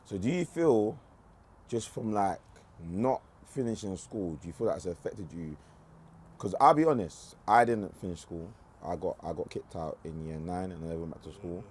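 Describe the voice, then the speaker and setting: A man's voice: low voice, animated, normal speed, a little mellow, slightly rough. A man hosting a casual chat and asking frank questions. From England. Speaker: podcast host.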